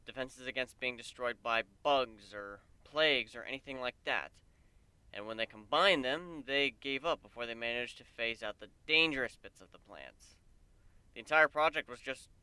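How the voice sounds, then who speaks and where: Man acting an earnest, clear-voiced male pegasus. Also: mid-range voice, pushed and bright, no echo. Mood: explaining. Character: earnest, easygoing young male pegasus, gently grumbling.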